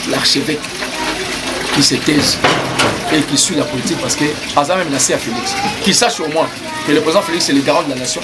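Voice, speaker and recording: A man's voice: fairly high pitch, fairly quick. A man with a Congolese French accent speaking in an indignant, emphatic way, often stumbling over repeated words in a heated street interview. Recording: crowd noise around.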